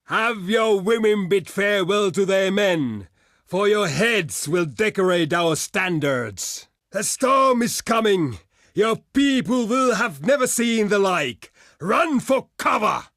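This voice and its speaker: Barbarian warrior envoy, slightly high-pitched for a man, unhurried, proud, gruff, blunt, theatrical game delivery, archaic phrasing. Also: expressive, a bit bright, no echo, clean studio sound, heavily acted. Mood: fierce, war-hungry. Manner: declamatory, archaic formal phrasing. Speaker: diplomat character in a strategy game. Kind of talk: theatrical video game voice lines.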